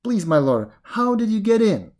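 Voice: medium pitch, very animated, normal speed, darkish tone, voice pushed up, lightly acted. A storyteller voicing a sly jackal who acts muddled, plaintive and scatterbrained in an animal folktale. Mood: flustered pleading. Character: sly jackal feigning muddled, whimpering confusion.

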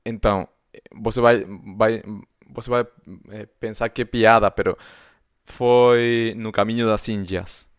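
A man from Argentina with an Argentine accent, speaking Portuguese as a second language, co-hosting a podcast in casual chat and often pausing to search for words. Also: fairly low pitch, steady tone, smooth, no room sound, clean studio sound. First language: Spanish. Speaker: podcast co-host.

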